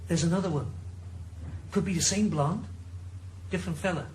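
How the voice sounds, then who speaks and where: A man's voice: sits high, moderate pace, a little mellow, a little hiss or hum, close to an everyday voice, poor audio. A man playing a blunt, coarse cab driver with a Welsh accent in a 1980s radio drama. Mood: gruff.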